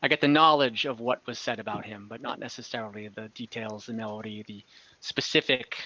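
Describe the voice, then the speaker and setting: A game master improvising tabletop game lore, speaking haltingly and searching for words. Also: mid-range voice, fairly even intonation, sharp tone, highs cut off. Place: online group call.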